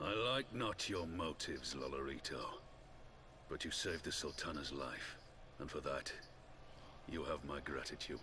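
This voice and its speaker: An actor voicing a proud, hot-blooded general in a video game, with a gravelly, forceful, declamatory voice. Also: male voice, a bass voice, unhurried, background noise. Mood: grudging gratitude. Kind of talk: video game cutscene acting. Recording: game audio with music.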